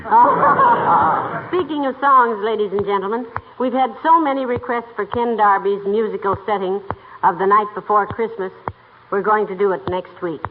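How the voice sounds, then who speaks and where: A narrow old radio broadcast with faint noise carries a woman playing a good-humored wife. Her medium-pitched delivery is in 1940s radio comedy style. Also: moderate pace, lightly acted.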